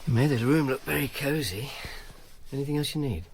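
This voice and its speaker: A man's voice: medium pitch, fairly quick, a little hiss or hum, natural voice. A man playing Falco, a wry Roman informer. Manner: dry, wry and self-mocking. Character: Falco, wry male informer of ancient Rome. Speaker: narrator and lead character. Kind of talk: BBC radio drama.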